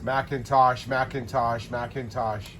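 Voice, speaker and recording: A man's voice: mid-range voice, little pitch movement, a little slow. Insistent man calling through heavy radio static. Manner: insistent, repeats same word over and over. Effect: CB radio transmission. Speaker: CB radio caller. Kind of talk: repeated call sign check-in.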